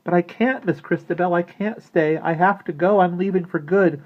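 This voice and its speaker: A man voicing an earnest, excitable young girl in a storybook, with emphatic exclamations. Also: high voice, moderate pace, pitched above the performer's own voice, natural voice. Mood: overjoyed, earnest. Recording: muffled, narrow-band lo-fi audio.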